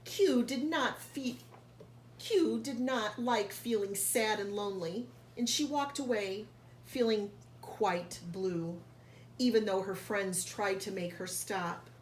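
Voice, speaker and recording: A woman reading a picture book aloud to young children like a teacher, pausing to explain and carefully sounding out key words. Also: medium pitch. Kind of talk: read-aloud storytelling with explanatory asides. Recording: echoey room, faint background noise.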